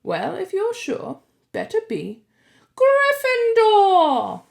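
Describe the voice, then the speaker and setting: A woman voicing an old male sorting hat that muses slowly and coaxes. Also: high-pitched, huge pitch swings, creaky, a little mellow, voice pushed up, exaggerated voice. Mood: musing.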